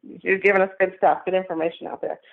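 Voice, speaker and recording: A woman's voice: lowish voice, flat delivery, fast, vocal fry. A woman co-hosting a podcast in casual chat, hesitant and full of fillers as she asks questions. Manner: hesitant, many "um" and "you know". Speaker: podcast co-host. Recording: tinny phone line.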